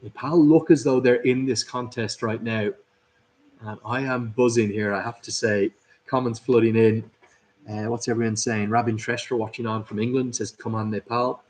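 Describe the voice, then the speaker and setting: A man's voice: medium pitch, fairly even intonation, moderate pace, darkish tone. A man hosting a live cricket watchalong, commentating and chatting through viewer comments. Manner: chatty, upbeat, reads out viewers' names and greetings. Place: at a cricket club.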